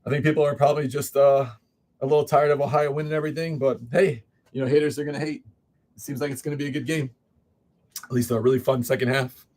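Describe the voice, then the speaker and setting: A man's voice: mid-range voice, little pitch movement, fast talker, darkish tone. A man from Illinois chatting casually as host of a live sports card break, greeting viewers by name, his voice a little off. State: voice slightly off. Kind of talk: casual livestream chat.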